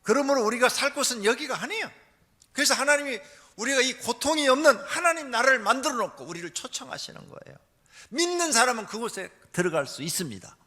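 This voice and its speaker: A man preaching a church sermon as a pastor, emphatic, moving between hushed asides and loud, forceful exhortation. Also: extremely high pitch, expressive, quick pace. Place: large church hall during a service. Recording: long hall reverb.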